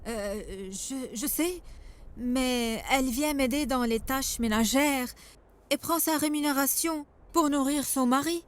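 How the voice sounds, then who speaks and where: A timid young-sounding cartoon wife, speaking high and slowly. She is meek and halting, as if unsure of every answer. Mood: nervous, meek. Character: timid, hesitant wife answering her stern husband. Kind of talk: dubbed religious cartoon acting.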